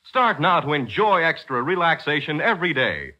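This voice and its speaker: Bright, forceful 1940s American radio announcer man. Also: on the high side, very animated, normal speed, lo-fi sound. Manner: dramatic, emphatic, polished salesmanship. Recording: 1940s radio broadcast with music.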